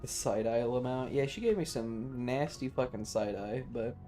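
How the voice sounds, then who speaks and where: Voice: medium pitch, moderate pace, warm tone. A live streamer giving casual game commentary in a playfully bratty, sweary manner. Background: faint game music.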